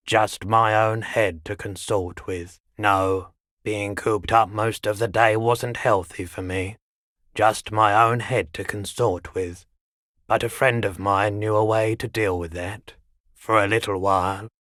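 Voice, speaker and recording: A weary, haggard man who keeps a pawnshop for a video game. His voice sits on the low side and moves very slowly. He is measured and resigned, and the recording is dry and close. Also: steady tone, a bit bright, no room sound. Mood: subdued, confiding. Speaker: shopkeeper. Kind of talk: video game character dialogue.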